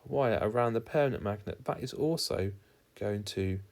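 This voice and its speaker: A man's voice: lowish voice, moderate pace, a little mellow. A man giving an explanatory lesson as a teacher, talking informally and stressing key words. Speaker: physics teacher.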